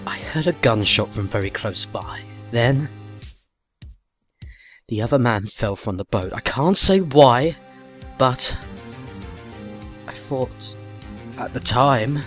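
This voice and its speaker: A man voices a reserved, stern accused man who speaks slowly and evenly in a mid-range voice. He sounds measured and cold, with faint music behind him. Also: medium pitch, expressive, pushed and bright. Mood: guarded. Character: stern, reserved male prosecutor on trial. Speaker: defendant. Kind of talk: fan audio drama acting.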